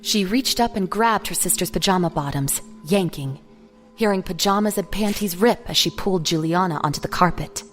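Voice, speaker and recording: A woman narrates a scripted story in a somewhat low voice. Faint music plays behind her reading. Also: normal speed.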